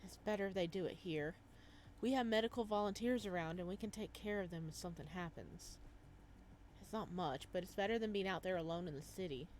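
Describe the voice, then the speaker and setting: A woman's voice: lowish voice, fairly quick, darkish tone, a little hiss or hum, lightly acted. A woman voicing a friendly, protective young game character in a casual, coaxing manner. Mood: reassuring.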